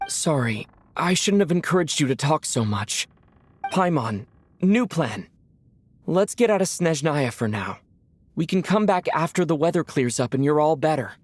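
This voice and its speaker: A man voices a young male adventurer in a video game, gentle and practical. His voice sits a little high for a man and moves expressively, with a caring, concerned manner. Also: animated, normal speed, a little hiss or hum, natural voice. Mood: concerned, resolved. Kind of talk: video game cutscene acting.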